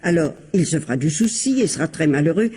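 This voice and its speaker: A woman's voice: sits low, lively intonation, quick pace, warm tone, slightly rough, exaggerated voice. An actress playing a scheming, falsely kind middle-aged lady in a vintage radio mystery, poised and bossy with an insinuating, motherly manner. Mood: feigned sympathy.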